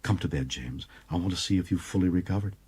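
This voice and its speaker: Man voicing a seductive, coaxing alien woman, low-pitched. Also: fast, darkish tone, natural voice. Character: seductive, playful alien woman, falsely soothing.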